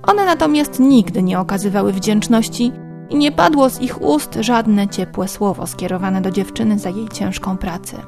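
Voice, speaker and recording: A woman's voice: mid-range voice, moderate pace. A woman storyteller reading a scripted fairy-tale narration. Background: plucked guitar music.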